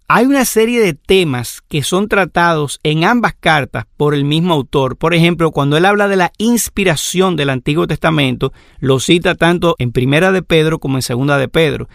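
Male Bible teacher, slightly raised pitch with some fry, patient explanatory lecture delivery. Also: no echo, clean studio sound. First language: Spanish. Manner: measured, explanatory, lists examples. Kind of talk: lecture on scripture.

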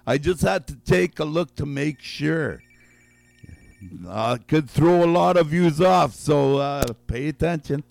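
Slow gravelly man hosting a call-in game. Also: on the high side, a little mellow. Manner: drawn-out, repeats each guess slowly, chuckles. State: losing his voice. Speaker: radio game show host. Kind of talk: call-in guessing game on air.

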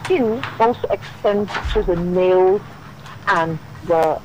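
A woman, a dermatologist, calling into a radio show and explaining calmly, with many "you know"s. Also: lowish voice, slow, background noise. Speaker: dermatologist guest.

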